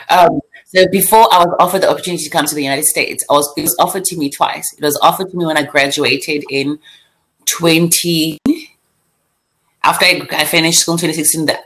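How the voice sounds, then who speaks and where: A young woman with a South African accent talks in a relaxed podcast chat. She is lively, laughs easily and often restarts her sentences in quick bursts. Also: low-pitched, gravelly, rough recording. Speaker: guest. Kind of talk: casual chat.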